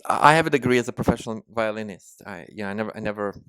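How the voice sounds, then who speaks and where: A man's voice: on the low side, very fast, fairly bright tone, clear, resonant tone, no room sound. A man with a slight Ukrainian-Israeli accent answering interview questions, hesitant with many restarts and fillers. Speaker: musician guest.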